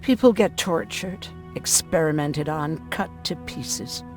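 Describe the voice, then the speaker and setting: A woman's voice: deep voice, takes it slowly. A hardened woman medic who has survived too much, for a video game. She speaks in a matter-of-fact, bitter way, middle-aged and weary, with dry irony. Music plays behind her. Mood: bitter, haunted. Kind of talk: video game drama acting.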